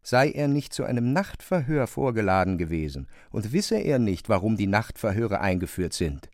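A man's voice: fairly low pitch, very animated, moderate pace, a little mellow, dry recording, studio quality. A man reading scripted literary narration for a radio play.

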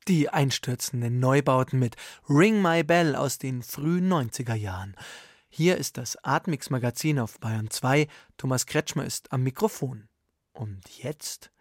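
A man presenting a radio arts magazine from the studio with a smooth announcer delivery. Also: medium pitch, animated, a little slow, no echo. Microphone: studio microphone.

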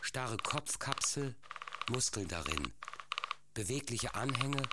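A performer narrating a radio drama as a methodical lecturer, reciting scientific terms in clipped, deliberate lists. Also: medium pitch, very animated. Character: dry, methodical entomology lecturer reciting catalogues of insects. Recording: heavy electronic clicking and chirping noise behind. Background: electronic and insect chirps, clicks.

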